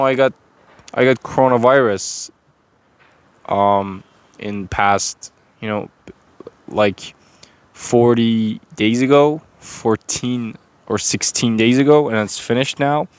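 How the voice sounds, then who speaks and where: A young man with a Persian accent, talking unscripted to a phone camera in a rambling, halting way full of fillers and restarts. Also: medium pitch, fairly even intonation, clean tone, dry recording. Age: young adult. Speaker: vlogger.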